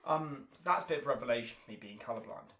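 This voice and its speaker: A man's voice: medium pitch, quick pace. A man in casual podcast banter, explaining things in a pedantic, mock-scientific way. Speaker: podcast guest. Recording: noisy, echoey room.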